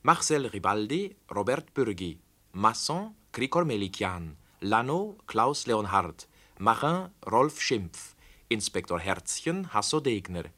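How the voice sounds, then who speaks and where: Male radio announcer for closing credits, formal, lowish voice, wide melodic swings. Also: very animated, takes it slowly, a bit bright.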